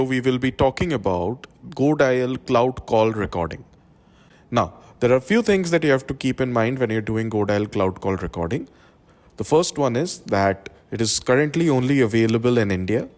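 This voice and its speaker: A man presenting an instructional software tutorial, explaining things step by step. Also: mid-range voice.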